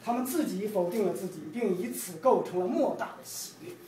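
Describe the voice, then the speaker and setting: A middle-aged man from China recites his poetry with measured, emphatic phrasing. He is recorded from a little distance in a very roomy space, with faint noise behind him. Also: high voice, warm tone. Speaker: poet reading own work. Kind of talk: poetry reading. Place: room with large windows, indoors.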